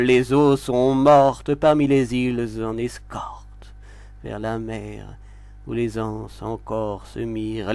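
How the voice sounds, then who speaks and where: A man giving a dramatic literary recitation of poetry, with solemn, deliberate and expressive phrasing. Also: medium pitch, vocal fry, no echo. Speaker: poetry reader.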